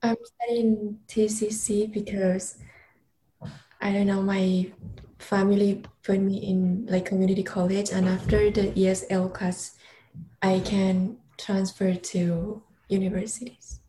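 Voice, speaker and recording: Young woman, flat slow voice, soft and unsure, echoey room with faint hiss. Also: mid-range voice. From Spain. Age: young adult. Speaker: interviewee, student. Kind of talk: short interview answers.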